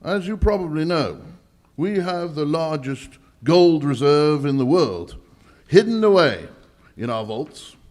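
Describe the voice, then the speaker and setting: A man performs a pompous aristocratic bank governor in an old-style radio drama. The voice is raised a little and swings widely. Each emphatic, anxious phrase comes slowly and melodramatically. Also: fairly high pitch, sing-song, a little mellow. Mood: grave, baffled. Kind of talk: 1940s radio mystery acting, performed live.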